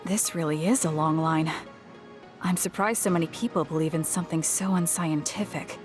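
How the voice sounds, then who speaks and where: A woman voicing a level-headed young woman in a video game, speaking calmly and directly. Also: low voice, moderate pace, natural voice. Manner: calm and direct. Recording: music under the dialogue.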